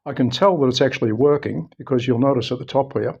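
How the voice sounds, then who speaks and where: A man with an Australian accent talks through an informal how-to in a halting, explanatory manner. His voice is mid-pitched with a lot of gravelly creak, and he often repeats himself. The recording sounds a bit dull and muffled. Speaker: presenter of a phone how-to video. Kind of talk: informal unscripted tutorial talk.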